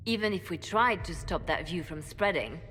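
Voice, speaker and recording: An actress playing a calm, diplomatic woman adviser in a video game cutscene. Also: on the low side, normal speed. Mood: calm, earnest. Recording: echoey hall with background noise.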